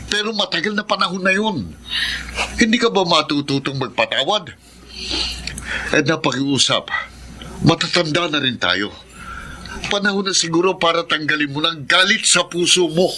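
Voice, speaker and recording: Very bright, forceful Filipino husband. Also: male voice, on the high side, moderate pace, pauses often, slight background noise. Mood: firm, appealing. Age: middle-aged. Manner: firm, appealing. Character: reasonable, compassionate middle-aged husband and father. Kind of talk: Filipino radio drama acting.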